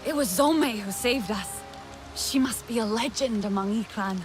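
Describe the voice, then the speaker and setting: A woman acts out a caring young heroine of an alien tribe in a video game. Her voice is on the high side, earnest and direct, with light music behind it. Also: moderate pace, close to an everyday voice. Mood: concerned, eager. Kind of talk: video game character acting.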